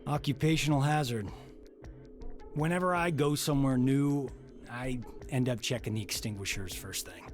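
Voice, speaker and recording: An actor voicing a modest, good-natured young firefighter in a video game, mild and unassuming. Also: male voice, mid-range voice, normal speed, lightly acted. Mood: matter-of-fact. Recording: game audio with music behind.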